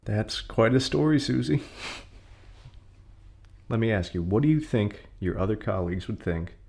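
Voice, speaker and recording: Male academic, raspy creak, husky, sardonic, condescending, audio play. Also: fairly low pitch, animated, unhurried, darkish tone, full character voice. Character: snide, jealous male academic colleague.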